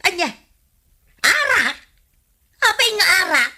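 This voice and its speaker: A woman's voice: extremely high pitch, big pitch swings, slow pace, bright, forceful tone, heavily acted. A woman playing an irritable, complaining village wife in a comedy radio drama, with an Ilocano accent. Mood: suspicious.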